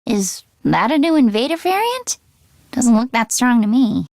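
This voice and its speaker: A woman voicing an earnest, anxious teenage girl mechanic in a video game, talking in a casual, expressive, youthful way. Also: fairly high pitch, moderate pace, no room sound, studio quality. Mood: worried, alert. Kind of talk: English video game voice acting.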